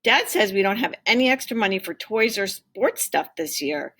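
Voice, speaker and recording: A woman's voice: mid-range voice, lively intonation, normal speed, a bit bright. A woman reading the part of a wistful, eager young boy in a storybook, plain-spoken and childlike. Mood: resigned.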